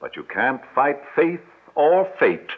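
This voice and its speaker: Husky, pompous old-time radio swindler. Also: male voice, a little high, big pitch swings, slow, exaggerated voice. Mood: rueful, resigned. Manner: florid, pompous. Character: smooth-talking grandiose older male swindler. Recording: thin, phone-like old radio recording.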